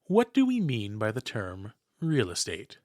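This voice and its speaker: A man hosting a podcast, reading a prepared script with formal, ornate diction and deliberate, dramatic emphasis. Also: on the low side, wildly swooping pitch, darkish tone. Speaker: podcast host.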